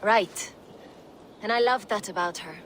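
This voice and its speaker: A woman's voice: mid-range voice, measured pace, fairly bright tone, slight background noise, lightly acted. An actress playing a brash, cheerful Viking smuggler turned warrior woman in a video game, with a playful, earthy swagger. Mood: candid, fond. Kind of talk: video game cutscene acting.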